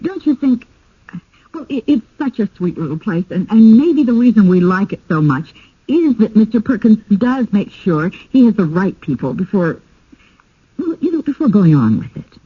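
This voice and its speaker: Radio drama role: conciliatory, appreciative wife, expressive husky delivery, soft dull broadcast sound. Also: female voice, medium pitch, normal speed. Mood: coaxing. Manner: polite, soothing, gently persuasive. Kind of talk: 1980s radio drama acting. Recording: muffled old radio broadcast.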